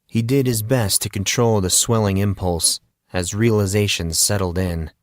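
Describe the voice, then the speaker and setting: A man narrating a fantasy story as an audiobook, in a dramatic, deliberate and portentous manner. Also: fairly low pitch, steady tone, no room sound, clean studio sound.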